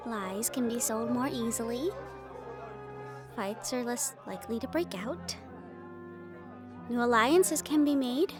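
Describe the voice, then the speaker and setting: Woman acting high, lilting, affectionate young fiancée. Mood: thoughtful, conspiratorial. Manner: affectionate, expressive, chatty. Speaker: audio roleplay voice performer. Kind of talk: intimate scripted audio roleplay addressed to the listener.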